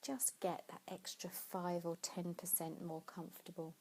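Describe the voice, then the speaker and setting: A woman leading a guided sleep meditation in a soothing, unhurried way, pausing between phrases. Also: fairly low pitch, level tone, creaky, a little mellow, slight background noise. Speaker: yoga nidra meditation teacher.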